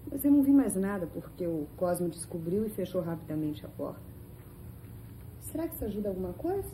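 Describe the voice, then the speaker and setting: Casting: frightened young Brazilian village woman, very mellow, lowish voice, lively intonation; old TV drama. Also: fairly quick. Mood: shaken. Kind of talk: 1970s telenovela acting. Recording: noisy old 1970s TV soundtrack.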